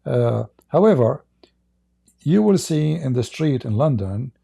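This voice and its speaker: Gravelly, dark-voiced male host. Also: medium pitch, big pitch swings. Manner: firm, measured, emphatic. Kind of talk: live debate show answering callers.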